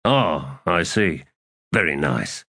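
A man voicing a weary, dry manservant in an audiobook, understated and deadpan. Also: low-pitched, a little slow, dry recording, exaggerated voice. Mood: dry, resigned. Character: weary, dry manservant, secretly an elder god. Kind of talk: audiobook character dialogue.

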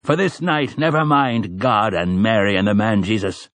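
A man's voice: lowish voice, moderate pace, fairly bright tone, no room sound, natural voice. A man voicing a stern old priest in an audiobook, commanding and oratorical, with rustic, archaic turns of phrase. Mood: stern, urgent. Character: stern, weathered old priest rallying frightened villagers. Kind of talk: audiobook character dialogue.

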